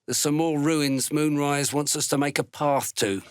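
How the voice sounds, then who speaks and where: An actor playing a gruff, callous dark dwarf soldier and zealot in a video game, sneering and threatening in a gravelly voice. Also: male voice, on the high side, steady tone, moderate pace, no echo, clean studio sound, close to an everyday voice. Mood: gruff, businesslike. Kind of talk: video game character voice lines.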